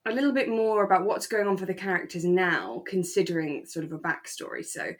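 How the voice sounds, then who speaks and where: A British woman with a fairly low voice, chatting casually as a podcast co-host. She talks in a relaxed, conversational way about a favourite show. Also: fairly quick. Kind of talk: relaxed casual chat about a radio drama.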